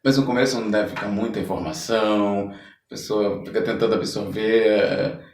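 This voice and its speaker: A man's voice: lowish voice, fairly even intonation, moderate pace, a little mellow. A Brazilian man interviewing casually on a podcast, thinking aloud as he searches for words. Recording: echoey room, distant mic.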